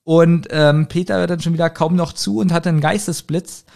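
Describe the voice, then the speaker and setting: A man co-hosting a podcast, retelling a plot in casual chat and haltingly reading out clues with amusement. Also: on the high side, fairly even intonation, creaky, a little mellow. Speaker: podcast co-host. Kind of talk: casual chat retelling a kids' audio drama.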